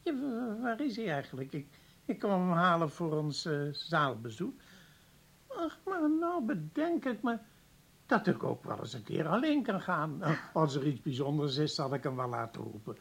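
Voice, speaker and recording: A man's voice: a little high, big pitch swings, moderate pace, gravelly, fairly bright tone, slightly rough, exaggerated voice. An actor playing a kindly, wistful professor in his seventies in a radio play, hesitant and courteous as he rambles on. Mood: courteous, fussing. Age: 70s. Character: kindly, wistful old professor heading a provincial hospital. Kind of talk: Dutch radio play acting.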